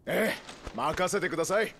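A man's voice: high voice, lively intonation, moderate pace, fairly bright tone, a little hiss or hum. A man playing a loyal resistance knight in a Japanese video game, speaking formally with deference and earnest conviction. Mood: earnest, respectful.

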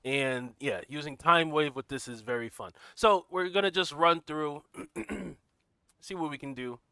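A man hosting a gaming livestream in casual commentary, greeting viewers by name and walking through his build, a little stuffy from a cold and clearing his throat now and then. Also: a little high, unhurried, bright, forceful tone, no echo. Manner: walks through game build details. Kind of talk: casual live gameplay commentary.

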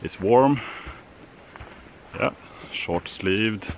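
A man in his thirties talks to a handheld camera at arm's length while he walks a summer forest trail. His voice is low and he speaks slowly, in short, halting phrases. Faint outdoor ambience sits behind him. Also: a little hiss or hum, rough recording. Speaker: outdoor vlogger. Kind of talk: casual vlog to camera.

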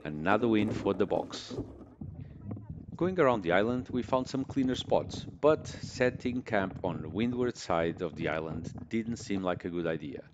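A man narrating his sailing trip as a travel vlog voice-over, in a relaxed tone with wry understatement. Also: deep voice, moderate pace, dull audio, background noise. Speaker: boating vlogger. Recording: music playing underneath.

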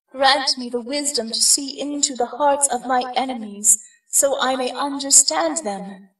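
A woman playing an earnest, reverent young heroine in an audio drama, with a solemn, deliberate manner. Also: high voice, fairly bright tone, distant mic, rough recording. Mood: solemn pleading.

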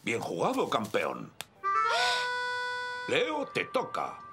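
Creaky-voiced, jovial old grandpa coach. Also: male voice, on the low side, wildly swooping pitch, takes it slowly, loud music behind the voice, exaggerated voice. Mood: encouraging. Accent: Castilian Spanish. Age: older. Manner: encouraging, storytelling. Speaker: grandfather and children's soccer coach. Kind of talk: animated cartoon dub acting.

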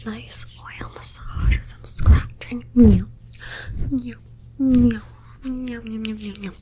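A woman voicing a playful, doting pet cat in an ASMR roleplay, whispering softly and meowing between her words. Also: on the high side, steady tone, takes it slowly, a little hiss or hum. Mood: whispery, playful. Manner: soft whispering with repeated meows.

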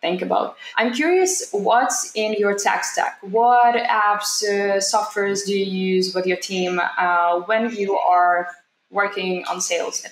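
A young woman in her twenties hosting a podcast, asking measured interview questions over a video call. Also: mid-range voice. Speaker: podcast host. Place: room indoors. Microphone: laptop camera on a video call. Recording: echoey room.

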